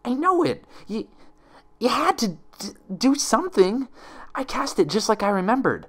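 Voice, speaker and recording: A man's voice: extremely high pitch, very animated, moderate pace, fairly bright tone, voice pushed up, heavily acted. A man voicing a frightened, straining young female unicorn pony in a story reading. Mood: defiant panic. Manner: straining, gasping, pleading.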